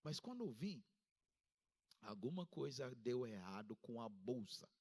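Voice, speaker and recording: A Ghanaian-accented male pastor in his fifties delivers a sermon at a church service. His voice sits at a medium pitch for a man, and it jumps sharply upward whenever he stresses a point. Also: very animated, measured pace, a little mellow, husky. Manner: emphatic preaching with sudden high-pitched rises.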